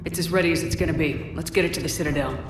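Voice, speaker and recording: An actress playing a tough, determined military commander in a video game, speaking bluntly and decisively. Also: female voice, low-pitched, racing, very noisy, lightly acted. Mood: firm. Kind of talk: scripted video game dialogue. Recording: echoey game audio under loud music.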